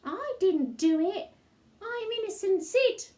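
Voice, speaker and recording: A cheeky little boy voiced by a woman reading a storybook, very high and earnest. He is insistent and wheedling, drawing out his fibs slowly in an echoey room. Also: animated, dark tone, full character voice. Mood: pleading innocence. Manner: wheedling, insistent, hesitant while inventing.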